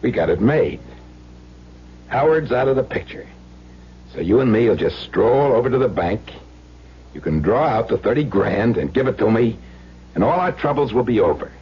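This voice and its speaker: Bullying gangster, desperate, tough slangy talk, medium pitch, old radio drama, muffled noisy recording. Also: male voice, big pitch swings, normal speed, pauses often, husky. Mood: menacing, sly.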